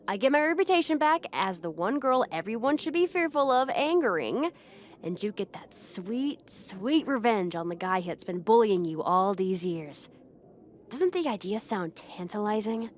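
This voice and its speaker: A haughty, popular high school girl played by a young woman with a fairly high voice. She is proud and bossy, with flustered hesitations slipping through. It is an intimate roleplay audio drama spoken to the listener, with noise behind the voice. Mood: persuasive, smug.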